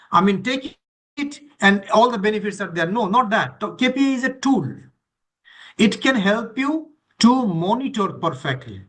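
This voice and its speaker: High-voiced male trainer explaining emphatically. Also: fairly bright tone. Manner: emphatic, repetitive listing, checks understanding with questions. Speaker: course trainer leading an orientation session. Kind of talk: explanatory teaching to online participants.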